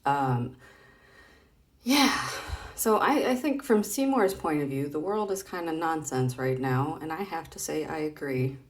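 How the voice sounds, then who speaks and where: A woman's voice: low-pitched, lively intonation, measured pace. A woman casually reading comics aloud, chatty and playful, thinking aloud with some hesitation as she explains things.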